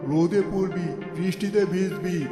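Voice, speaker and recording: A man's voice: sits high, steady tone, moderate pace, close to an everyday voice. A man voicing a cruel, gloating cartoon wizard who taunts theatrically as he lays curses. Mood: menacing. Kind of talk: cartoon fairy-tale villain acting. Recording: echoey hall reverb, music behind.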